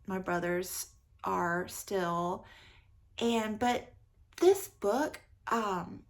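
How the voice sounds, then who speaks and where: Female book vlogger, mid-pitched, slow-paced, enthusiastic and effusive, casual conversational delivery. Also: vocal fry. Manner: enthusiastic, effusive, repeats words for emphasis. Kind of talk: casual book review chat.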